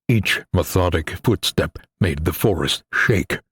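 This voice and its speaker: Very deep, crisp male audiobook narrator. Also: unhurried, fairly bright tone. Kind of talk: scripted dramatic horror-adventure narration.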